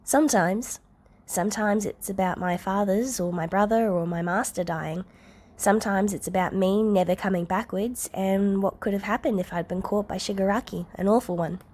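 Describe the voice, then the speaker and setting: A woman's voice: medium pitch, moderate pace, darkish tone, lightly acted. A woman voicing a caring older girl from a story, casual and reassuring, cheerful with an awkward streak. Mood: subdued, honest.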